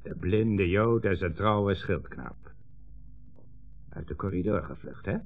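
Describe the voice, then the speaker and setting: Sneering commissar, tinny old radio sound. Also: male voice, low voice, animated, takes it slowly, exaggerated voice. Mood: cold, sneering. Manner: imperious, contemptuous, hectoring. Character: menacing communist commissar, sneering, bullying, coldly sarcastic interrogator. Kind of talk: 1960s radio drama acting. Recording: tinny, band-limited old radio recording, faint music.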